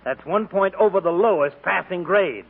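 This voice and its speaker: A man's voice: high-pitched, normal speed, creaky, breathy edge, full character voice. A man playing an officious, condescending shoe department manager, pompous and fussy, in a 1940s radio comedy. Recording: scratchy, tinny 1940s radio broadcast.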